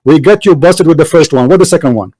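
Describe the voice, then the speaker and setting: A man's voice: fairly high pitch, fast talker, dark tone, slightly rough, no echo, low-quality recording. A man debating hotly, repeating his questions and pressing for yes or no answers. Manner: insistent, repeats questions, accusing. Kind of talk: heated live debate.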